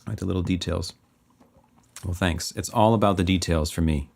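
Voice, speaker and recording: A man's voice: deep voice, steady tone, a little fast, gravelly, a little mellow. A man from British Columbia with a light West Coast Canadian accent, a music producer casually thinking aloud and muttering self-critical notes while mixing a track. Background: faint music playback.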